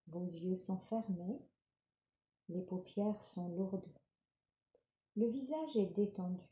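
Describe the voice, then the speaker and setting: Woman, relaxation guide, slow pace, gentle calm instruction, deeply mellow tone, guided meditation. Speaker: yoga nidra relaxation guide. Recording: roomy, reverberant sound.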